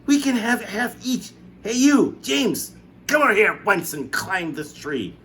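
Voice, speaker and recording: A man's voice: sits high, expressive, a little slow, fairly bright tone, slight background noise, pitched above the performer's own voice. A man voicing a fat, greedy aunt who schemes and bosses a boy around.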